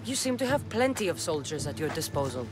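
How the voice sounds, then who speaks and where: Bold, defiant mercenary, music behind. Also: female voice, deep voice, sing-song, fast. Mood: cool, confident. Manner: confident, defiant, direct. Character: bold, determined young female mercenary, hardened and self-assured. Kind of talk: dramatic video game acting.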